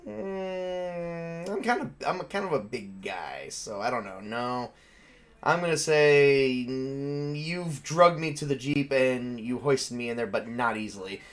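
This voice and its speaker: A man's voice: medium pitch, measured pace. A man casually narrating a tabletop role-playing game as game master, improvising and thinking aloud with some hesitation.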